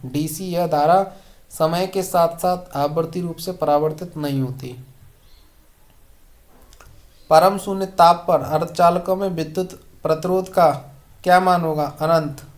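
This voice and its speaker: Coaching-class tutor, mid-pitched voice, methodically reading out quiz questions with short, clear-cut answers. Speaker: exam coaching teacher. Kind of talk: question-and-answer lesson read aloud.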